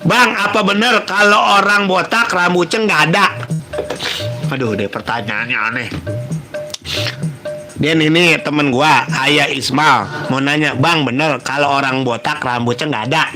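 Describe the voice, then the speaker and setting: A man with a Betawi Jakarta accent hosts a joking call-in style show, reading out silly questions and pantun and mocking them with loud bursts. His voice sits high and is a little sniffly, and music plays clearly behind him. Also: unhurried. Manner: banters, teases listeners, breaks into loud bursts. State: runny nose, sniffly. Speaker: comedic radio-style host reading listener questions.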